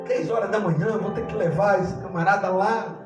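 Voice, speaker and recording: A preacher voicing a reluctant, exasperated but dutiful pastor, with a Brazilian accent, in a sermon story. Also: male voice, high-pitched, moderate pace, heavy reverb, far from the mic, over music, voice pushed down, close to an everyday voice. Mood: grudging. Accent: Brazilian Portuguese. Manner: grumbling, questioning.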